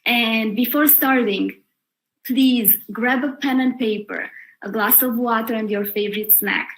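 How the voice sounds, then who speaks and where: A woman hosting a live webinar, welcoming the audience in an upbeat, deliberate way. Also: on the high side, rough recording. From Romania. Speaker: webinar host.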